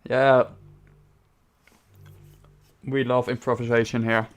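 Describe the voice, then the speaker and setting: A man's voice: mid-range voice, little pitch movement, takes it slowly, dull audio. A young man streaming a game, chatting casually with a guest and laughing easily, on a desktop mic. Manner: laid-back, laughs often.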